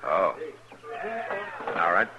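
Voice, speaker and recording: An actor playing a steady, suspicious frontier lawman in a 1950s radio western, with an American accent. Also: low voice, very slow, crowd noise, heavily acted. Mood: firm, wary. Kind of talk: 1950s radio drama acting. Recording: dull, noisy 1950s radio recording.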